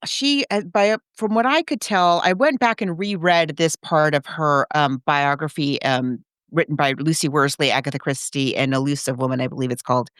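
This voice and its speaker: A woman's voice: low-pitched, clear, resonant tone, no echo, studio quality. A woman co-hosting a podcast in casual discussion, chatty and emphatic, often thinking aloud. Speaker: podcast co-host.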